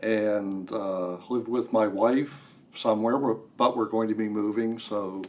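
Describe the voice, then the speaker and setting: Older male interview guest, lowish pitch, halting casual talk with dry self-mockery. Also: fairly even intonation. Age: 60s or older. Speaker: guest writer. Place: radio studio.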